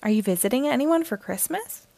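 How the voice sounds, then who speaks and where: A woman's voice: fairly high pitch, expressive, brisk, gravelly, mellow, no echo. A woman voicing a plucky, earnest young boy in a children's storybook. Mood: gentle. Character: plucky young boy left home alone.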